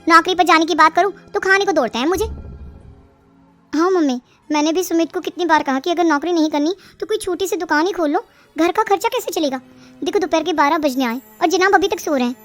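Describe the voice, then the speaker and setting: A woman's voice: extremely high pitch, brisk, fairly bright tone. A woman voicing a fed-up young married daughter in a story, whiny and complaining. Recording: music behind the voice.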